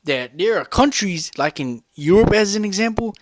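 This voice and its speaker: A man's voice: high voice, animated, normal speed, no room sound. A man ranting loosely to camera in a vlog, repeating himself and swearing for emphasis. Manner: rambling, repetitive, profane and emphatic. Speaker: vlogger. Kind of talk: unscripted rant to camera.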